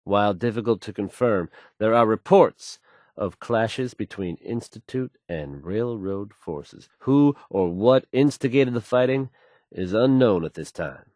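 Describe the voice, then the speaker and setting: An actor playing a smooth, self-assured male radio DJ and announcer in a video game. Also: fairly low pitch, expressive, measured pace, darkish tone, no room sound. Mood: assured, wry.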